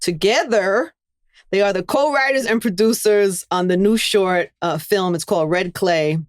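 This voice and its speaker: A woman's voice: mid-range voice, unhurried, no room sound, studio quality. Conversational middle-aged woman host. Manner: warm, affirming, conversational with frequent mm-hmms. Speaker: podcast host. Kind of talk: interview hosting.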